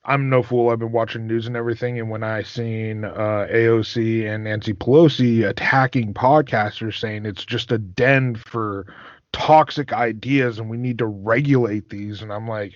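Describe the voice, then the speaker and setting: A man's voice: lowish voice, steady tone, moderate pace, no echo. Male podcast host, dry dull sound. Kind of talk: casual interview chat.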